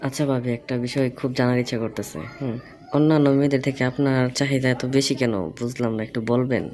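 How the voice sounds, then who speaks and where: A man's voice: mid-range voice, fairly even intonation, fast talker, darkish tone. A man in a casual phone conversation, asking probing questions and explaining himself at length. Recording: phone call recording with faint noise.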